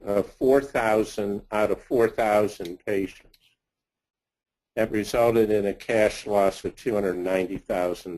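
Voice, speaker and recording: A man giving a slide presentation of financial results as a company executive, speaking haltingly in short phrases. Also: lowish voice, a little mellow, poor audio.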